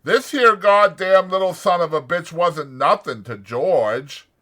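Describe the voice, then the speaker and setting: A man voicing a huge, childlike, simple-minded man in an audiobook, slow and plaintive with folksy uneducated speech. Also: high voice, sharp tone, pitched above the performer's own voice. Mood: sorrowful. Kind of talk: dramatized audiobook character acting.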